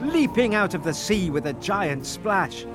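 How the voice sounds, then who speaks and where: An irritable magic fish from a storybook, acted out by a man, scolding in loud exasperated shouts. The voice is pushed well up in pitch and drawn out slowly, with music behind it. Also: sing-song. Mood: angry.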